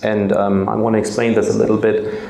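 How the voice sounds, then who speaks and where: A man in his forties from Germany, a native German speaker, giving a hesitant talk to students in a school auditorium, pausing often on filler sounds. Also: on the low side, flat delivery, gravelly, dark tone, a little hiss or hum. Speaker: guest speaker. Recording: echoey hall.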